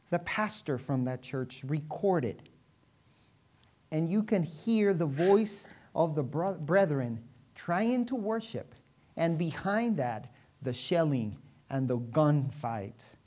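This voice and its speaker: Low-voiced preacher from Venezuela, a woman. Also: animated, dark tone. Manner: rhetorical questions, dramatic emphasis and pauses. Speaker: pastor preaching to a congregation. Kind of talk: sermon.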